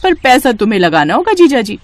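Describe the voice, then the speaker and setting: A woman's voice: a little high, very animated, fairly quick. A woman voicing a bold, ambitious young sister-in-law turned street vendor in a cartoon, with a coaxing manner. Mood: confident.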